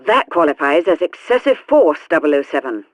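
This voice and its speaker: A woman's voice: deep voice, very animated, a little fast, dry recording. An actress playing a stern, exasperated female spy chief, heard through a radio effect in a video game.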